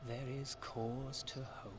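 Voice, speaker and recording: An actor playing a grave fantasy game narrator, speaking in a solemn, measured manner. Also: male voice, mid-range voice, fairly even intonation, music in the background, lightly acted. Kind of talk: slow scripted dramatic game narration.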